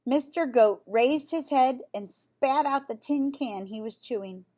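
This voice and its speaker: A woman reading a children's storybook aloud in an expressive, deliberate way. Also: high-pitched. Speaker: storybook reader. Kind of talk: children's read-aloud narration with brief asides.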